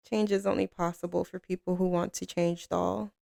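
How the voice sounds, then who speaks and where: A woman playing a tough, commanding mafia boss with a tender side for a lover, in an intimate ASMR roleplay, with a gravelly, creaky voice and a cool drawl. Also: fairly low pitch, dark tone, dry recording, clean studio sound. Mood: fond, amused. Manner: cool, unhurried, commanding drawl with pet names.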